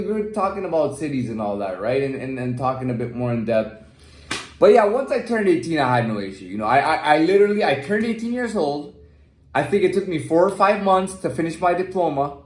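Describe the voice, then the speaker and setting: A man talking candidly in a casual, rambling personal monologue, repeating himself and checking that the listener follows. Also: fairly high pitch. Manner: candid, repetitive, often checking with "you know what I mean". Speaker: vlogger telling personal stories. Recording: echoey, roomy sound.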